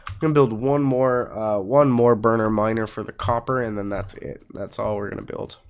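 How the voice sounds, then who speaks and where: A man's voice: lowish voice, fairly even intonation, normal speed, clear, resonant tone. A man getting over a cold, giving casual let's play commentary as host of a gaming channel, explaining things in an informal, rambling way.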